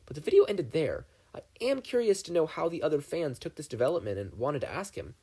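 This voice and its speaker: A man's voice: on the high side, very animated, fairly quick, dark tone, slightly rough, pitched above the performer's own voice, exaggerated voice. A man voicing an eccentric, distracted older scientist uncle in an audiobook reading, cheerful and rambling. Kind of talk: fan fiction audiobook reading.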